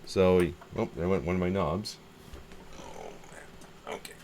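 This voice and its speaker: A man's voice: low-pitched, huge pitch swings, unhurried, a little mellow. A man giving a casual, unscripted hands-on show-and-tell of a repair, muttering to himself and thinking aloud as he works, recorded on a camera microphone. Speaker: hobbyist presenting a radio repair video. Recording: clear background noise and ambience.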